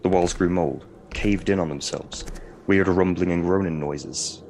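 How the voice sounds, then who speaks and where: A man playing a gruff, sceptical prison warden in an audio drama, dry, plain-spoken and commanding. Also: low-pitched, moderate pace, a little mellow, a little hiss or hum. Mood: explaining.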